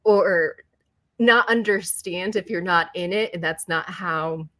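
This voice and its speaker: A middle-aged woman from Puerto Rico, chatting as a podcast guest in a lively storytelling way and laughing often. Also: fairly low pitch, takes it slowly. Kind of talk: casual interview chat.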